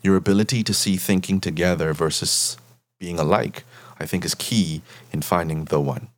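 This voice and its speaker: A man from the Bahamas with a very low voice, talking as a therapist guest in an interview. He explains his points in a measured, patient way and keeps tagging them with "right?". The sound is close and dry, with little room echo.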